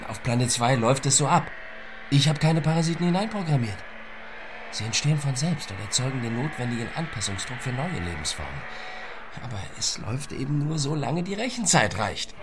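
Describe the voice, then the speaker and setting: An actor playing a driven computer scientist in a radio drama, probing and quietly persistent, evasive about himself. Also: male voice, mid-range voice, expressive, brisk, background noise, natural voice. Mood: fervent. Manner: probing, clipped questions, evasive answers. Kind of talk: naturalistic radio drama dialogue.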